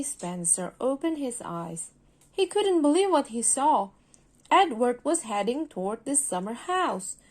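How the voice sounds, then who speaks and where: A woman's voice: fairly high pitch, darkish tone. A woman reading a storybook aloud to a young child, expressively, coaching and prompting as she goes. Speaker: parent helping a child read.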